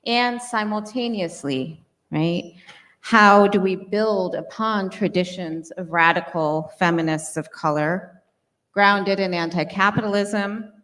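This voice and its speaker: A woman moderating a panel, giving a prepared academic introduction in a deliberate, phrase-by-phrase way on an auditorium stage with a handheld mic. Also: on the low side. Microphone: handheld microphone. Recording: reverberant hall sound.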